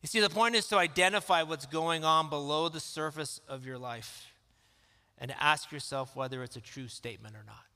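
A man preaching a sermon in a calm, conversational way that rises into emphatic questions. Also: fairly high pitch, moderate pace. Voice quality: calm, soothing voice. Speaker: pastor. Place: church sanctuary. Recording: long reverb of a church hall.

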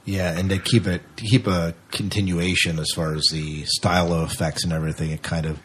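A man's voice: low voice, level tone, a little fast, a bit bright, breathy edge. A man hosting a podcast interview, asking questions in a conversational way.

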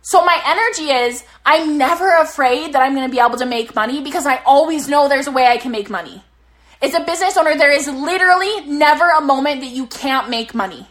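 A young woman sitting on her bed giving casual, energetic motivational business coaching on a live stream, swearing now and then, her voice slightly worn from talking too much. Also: sits high, fairly quick.

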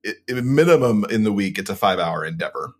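Man guest, low and husky, practical and conversational. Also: animated, quick pace. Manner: practical, advice-giving, frequent ums. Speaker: podcast guest and producer. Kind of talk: casual interview chat.

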